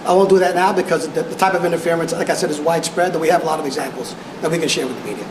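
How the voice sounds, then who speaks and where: Halting male official in an echoey room. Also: a little high. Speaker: police official giving press conference. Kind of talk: press conference answers. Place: indoor press conference room. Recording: echoey noisy press conference room.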